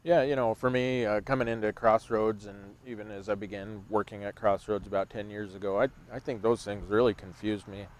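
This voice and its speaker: Low, level-voiced man in his thirties. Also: steady tone. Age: 30s. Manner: reflective, measured. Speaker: rescue mission staff member. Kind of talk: casual conversation on a livestream. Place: outdoors on a bench.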